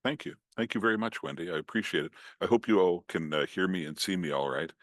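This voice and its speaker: Very deep-voiced Scottish man giving a talk. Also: lively intonation, fast, a bit bright, no echo, clean studio sound. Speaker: guest speaker. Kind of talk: online talk. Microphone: computer mic on a video call.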